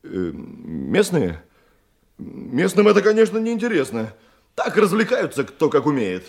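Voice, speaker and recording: A man's voice: on the high side, wildly swooping pitch, measured pace, full character voice. A man in a Russian radio drama playing an evasive, servile criminal underling who hesitates, stammers and stalls. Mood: hesitant, ingratiating. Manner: hesitant, stammering, repeats questions back.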